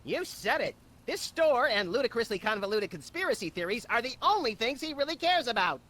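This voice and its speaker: An actor playing a manic, gleefully destructive rabbit in a video game, tossing off sardonic quips. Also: male voice, very high voice, sing-song, a little fast, sharp tone, heavily acted.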